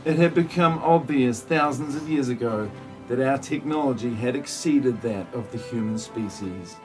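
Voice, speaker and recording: A man's voice: mid-range voice, normal speed, soft background music, natural voice. A man playing an earnest, moralizing mysterious outsider in an amateur parody audio play. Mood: solemn.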